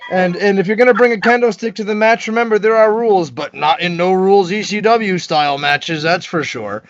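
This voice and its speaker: A man's voice: high voice, a little fast, muffled recording. A man talking loosely and profanely about wrestling on a video call, joking as he goes. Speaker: wrestler guest. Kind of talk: casual video call chat. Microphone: video call webcam.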